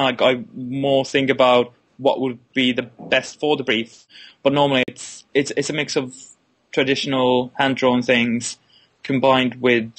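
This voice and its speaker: A man's voice: mid-range voice, poor audio. A young man in his twenties from Finland, speaking with a Finnish accent as a non-native speaker, giving hesitant interview answers full of restarts and fillers. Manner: hesitant, repeats words, many "kinda like" fillers. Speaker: illustration student, interviewee.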